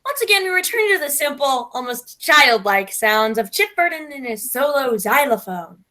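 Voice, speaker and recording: Woman acting radio play announcer, forceful bright tone, grand, polite, swooping delivery. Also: sits high, big pitch swings, moderate pace, heavily acted. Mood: grandly presenting. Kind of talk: old-time radio announcing.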